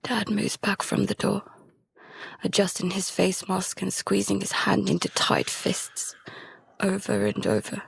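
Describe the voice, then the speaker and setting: A woman playing a frightened, guilt-ridden daughter in an audio drama, narrating and speaking in an anxious, halting, hushed way. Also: medium pitch, big pitch swings, vocal fry, slightly rough, no room sound, heavily acted. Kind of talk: audio drama, first-person present-tense narration and dialogue. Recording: music behind the voice.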